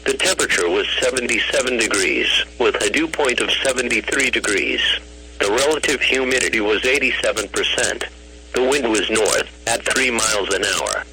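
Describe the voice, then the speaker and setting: A man's text-to-speech voice delivers a weather bulletin as a radio announcer. The pitch is ordinary for a man and the phrasing is formal, flat and clipped. It comes through a noisy radio broadcast recording. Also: normal speed. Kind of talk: scripted weather bulletin read-out.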